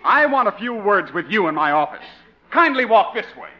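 A man's voice: sits high, very animated, normal speed. An actor playing a pompous, scornful station boss in a 1950s radio comedy, haughty, sarcastic and precise in his speech. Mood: bossy, irritated. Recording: muffled 1950 radio recording.

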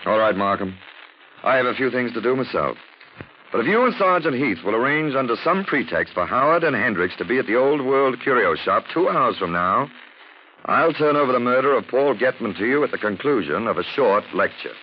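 Guy acting witty posh-talking radio detective, very animated delivery, theatrical and ironic, old muffled 1940s recording. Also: mid-range voice, a little fast, slight background noise, close to an everyday voice. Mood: smooth, teasing. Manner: urbane, florid, gently ironic. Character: suave, erudite gentleman detective, dryly mocking and theatrical. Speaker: detective and narrator.

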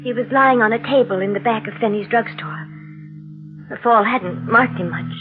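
Actress as a high-voiced, anxious, secretive wife. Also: female voice, normal speed, over music, close to an everyday voice. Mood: tense, confessional. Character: anxious, secretive young wife in a murder mystery. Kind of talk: 1940s radio drama acting, confessional narration. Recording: narrow-band vintage radio recording.

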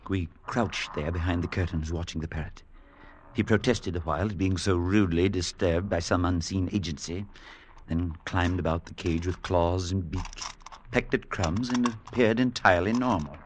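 A man playing an earnest, troubled priest in an old radio drama, speaking in a measured, grave, theatrical way. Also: deep voice, a little hiss or hum, lightly acted. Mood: hushed dread. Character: earnest, troubled priest telling his eerie story. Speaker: narrator and character. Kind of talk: 1940s-50s radio drama narration and acting. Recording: vintage radio broadcast with music.

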